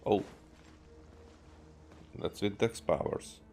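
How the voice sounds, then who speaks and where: A man from Hungary with a Hungarian accent, musing aloud haltingly as he gives casual gameplay commentary. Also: a little mellow, faint music behind. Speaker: game streamer.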